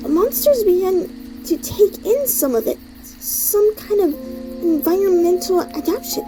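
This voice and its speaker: Squeaky, stammering nervous monster scientist. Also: female voice, rough recording. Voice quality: very high-pitched, girlish. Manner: stammering, halting, timid. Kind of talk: fan comic dub voice acting.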